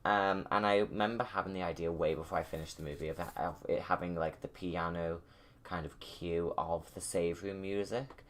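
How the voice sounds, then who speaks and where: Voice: low-pitched, steady tone, normal speed. A video creator giving casual, rambling commentary on a film in an enthusiastic, gushing fan's manner. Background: music.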